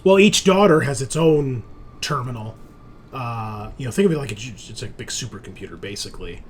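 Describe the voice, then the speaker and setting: A man working as game master, improvising narration for a tabletop game in halting, piecemeal descriptions. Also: mid-range voice, animated. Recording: clear background noise with ambience.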